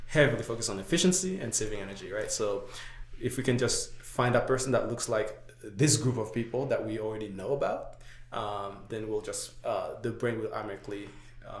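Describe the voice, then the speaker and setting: A man's voice: fairly low pitch, fairly quick. A young man from Haiti with a slight Haitian accent, a podcast guest explaining things at length in casual chat, with many "uh" and "right?" fillers. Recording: echoey room.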